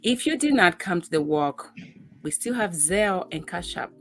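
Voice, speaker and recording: Young woman host, slightly low voice, chatty and upbeat. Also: lively intonation, normal speed, soft background music. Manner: upbeat, chatty, addresses viewers directly. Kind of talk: talk show hosting.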